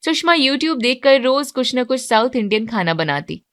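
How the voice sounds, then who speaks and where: A woman's voice: sits high, big pitch swings, normal speed, a bit bright. A woman narrating a scripted moral story as a storyteller.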